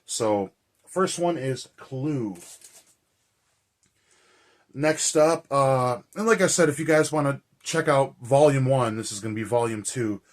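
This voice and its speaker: A man with a hoarse, raspy voice, chatting casually and rambling with lots of fillers as a movie vlogger. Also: medium pitch, unhurried, long pauses. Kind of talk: casual chat.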